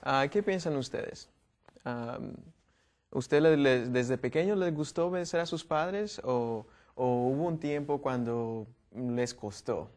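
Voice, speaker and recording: A man's voice: mid-range voice, slow, a little mellow. A young man from Guatemala hosts a youth panel discussion, asking questions with frequent fillers and restarts. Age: young adult. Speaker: host.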